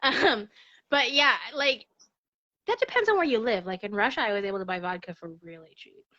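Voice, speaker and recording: A woman in relaxed conversation at home over a video call, chatty and laughing often. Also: fairly high pitch, brisk, highs cut off. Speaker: guest. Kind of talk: casual chat. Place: her apartment.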